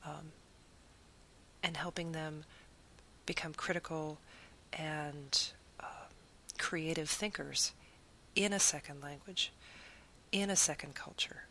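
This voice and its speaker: A woman's voice: deep voice, steady tone, slow, long pauses, pushed and bright, slight background noise, poor audio. A woman who teaches Spanish, giving an earnest, reflective talk about her teaching and piling up long lists of phrases.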